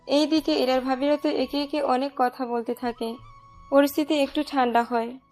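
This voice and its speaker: A woman narrator with a high-pitched voice tells a scripted romantic story, with faint music underneath. She reads as a storyteller, line by line. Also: a little mellow.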